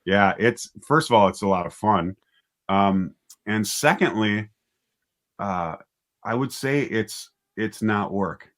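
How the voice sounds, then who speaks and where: A man being interviewed as a writer and director, talking casually and haltingly as he thinks aloud. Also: lowish voice, a bit bright.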